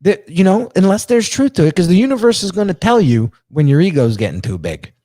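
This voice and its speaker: A middle-aged man with a strong New Jersey accent and a deep voice, hosting a podcast and telling stories in casual, animated chat, often repeating and restarting words. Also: brisk, no room sound, clean studio sound. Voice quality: deep register. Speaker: podcast host.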